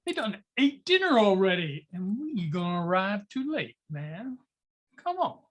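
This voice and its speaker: A woman's voice: mid-range voice, sing-song, measured pace. A woman playing a streetwise, impatient young gang member in a dramatic table read of a play. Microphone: video call.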